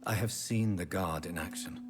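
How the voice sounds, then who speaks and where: Deep, brooding gentleman vampire played by an actor. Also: moderate pace, natural voice. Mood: grave, wary. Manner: formal, polite, grave. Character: courteous, brooding gentleman vampire investigator, newly turned. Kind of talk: video game dramatic dialogue. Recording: loud background music. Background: music.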